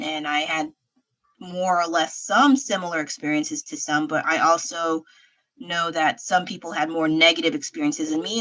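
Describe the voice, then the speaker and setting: A young woman from the States talks frankly on a late-night stream while she paints, thinking out loud and laughing easily. Her voice sits a little low but sounds bright and forward. She rambles in a loose, casual way. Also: rough recording. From United States. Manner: chatty and frank, laughs often, thinks aloud. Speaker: livestreaming artist. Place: home at night.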